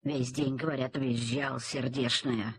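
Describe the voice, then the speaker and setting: A husky, folksy Russian village nanny. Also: female voice, rumbling low, fairly even intonation, a little slow, no room sound, heavily acted. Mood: sorrowful, resigned. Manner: folksy, plain peasant speech. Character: sleepy, pitying old-fashioned village nanny. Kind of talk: audio play acting. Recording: slightly husky cassette transfer.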